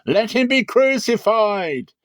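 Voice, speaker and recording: A man voicing an angry crowd that chants its demands slowly and loudly. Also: high voice, sing-song, dry recording, clean studio sound, voice pushed up, full character voice. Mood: demanding. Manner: slow, chanting shouts. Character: angry shouting mob of people.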